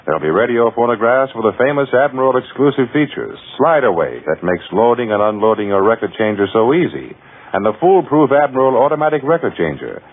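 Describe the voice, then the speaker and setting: A man's voice: mid-range voice, big pitch swings, brisk, husky, narrow, tinny audio. A man reading a scripted vintage radio advertisement as a commercial announcer.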